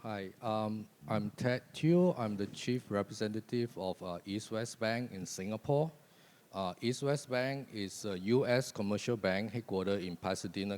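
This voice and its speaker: A man with a somewhat low voice speaks in a businesslike way, with frequent filler sounds, as he introduces himself on a panel. A long hall reverb rings after his words. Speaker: panelist, bank representative. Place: conference hall.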